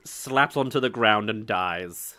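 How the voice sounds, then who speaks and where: A man's voice: on the low side, music in the background. A young man hosting a reaction video with casual, mocking commentary. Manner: sarcastic, mocking, quick asides. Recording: show audio playing loud underneath.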